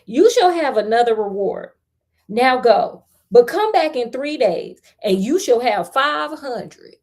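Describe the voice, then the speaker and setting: Woman voicing an imperious king, high and emphatic. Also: very animated, takes it slowly, darkish tone, exaggerated voice. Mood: stern. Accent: American. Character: imperious, quick-tempered king and father.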